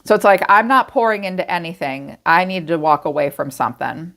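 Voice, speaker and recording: A woman talks her way through a tarot spread in a loose, conversational way. Her voice sits a little low for a woman, and she keeps slipping into short acted-out lines of what her viewers might be thinking. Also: brisk. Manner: chatty, lively, acts out listeners' inner voices. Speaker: tarot reader. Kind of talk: casual spoken tarot reading.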